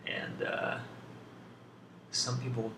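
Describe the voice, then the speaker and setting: A man speaking as an ASMR video host, answering questions off the cuff in a hesitant, thoughtful way with long pauses. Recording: roomy, distant, clearly noisy home recording.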